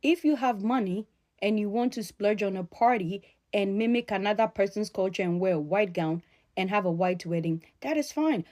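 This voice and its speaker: A woman speaks in a mellow, dark-toned voice at a middle pitch. She addresses her audience directly, admonishing them with emphatic, deliberately repeated statements. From Ghana. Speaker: sociologist addressing followers.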